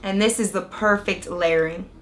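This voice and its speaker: A young woman vlogging a hair tutorial in a chatty, excited way, walking through each step, her voice a little raspy from a cold. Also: mid-range voice, moderate pace, faint music behind. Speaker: beauty vlogger. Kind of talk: casual hair tutorial and review.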